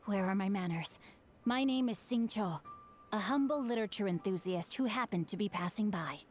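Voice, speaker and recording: A man's voice: high-pitched, animated, normal speed, soft background music. A voice actress playing a polite young male swordsman who loves books, courteous and formal in a game. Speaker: game character.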